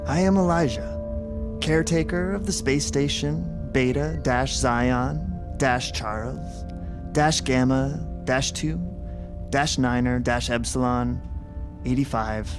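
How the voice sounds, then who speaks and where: A man playing an eccentric, solemn caretaker of a lonely space station, speaking in a deliberate, theatrical, measured way. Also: mid-range voice. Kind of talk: sci-fi audio drama acting.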